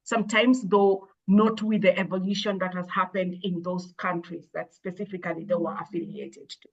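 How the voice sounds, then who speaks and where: A woman, an education expert, answering interview questions in a measured, thoughtful way with frequent hesitations and restarts. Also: fairly low pitch, fairly even intonation, dull audio. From Kenya. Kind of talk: explaining in an interview.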